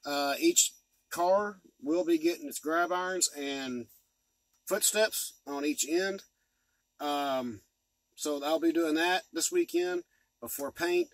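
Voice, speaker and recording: A man's voice: fairly high pitch, big pitch swings, takes it slowly, no room sound, poor audio. A man in his forties from the United States talking in a rambling, folksy way, giving a casual hobby vlog update from inside a truck cab. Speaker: model train hobbyist and video host. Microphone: phone or camera at arm's length.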